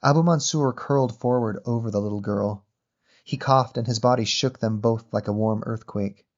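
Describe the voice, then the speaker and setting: A man reading a literary short story as narrator in a measured, descriptive manner. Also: medium pitch, muffled recording.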